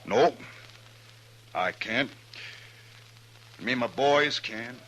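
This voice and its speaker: A man's voice: mid-range voice, lively intonation, drawn-out words, fairly bright tone, heavily acted, poor audio. A man playing a grieving, stubborn older rancher bent on revenge, with a rural American accent and a blunt, folksy, accusing manner, in a 1950s radio western. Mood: bitter, threatening. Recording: old radio recording, faint noise.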